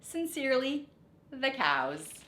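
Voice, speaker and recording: Storybook cow played by a woman: courteous yet firm, formal, melodic swings, measured pace in an echoey room. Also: high-pitched, big pitch swings, a bit bright, pitched above the performer's own voice, exaggerated voice. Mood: polite, firm. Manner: deliberate, formal letter-reading. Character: polite but firm cows writing demands. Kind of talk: picture-book read-aloud. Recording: roomy echo, background noise.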